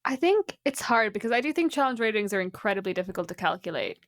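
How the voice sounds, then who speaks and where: A woman in casual, informal conversation about tabletop gaming, animated and teasing as a podcast co-host. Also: medium pitch, fast, dry recording, studio quality. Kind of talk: casual chat about tabletop game monsters.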